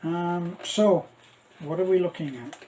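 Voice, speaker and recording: A middle-aged man giving a casual, rambling unboxing commentary, halting and thinking aloud as he goes. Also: sits high, mellow, a little hiss or hum, poor audio. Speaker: vlogger.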